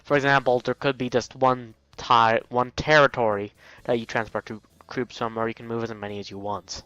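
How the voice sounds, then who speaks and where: A man's voice: medium pitch, flat delivery, moderate pace, bright, forceful tone, no echo. Clean-voiced man reading a game aloud, slightly dull. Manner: stumbles over words, chuckles, breaks off into asides. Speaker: let's play host. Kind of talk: casual read-aloud game commentary.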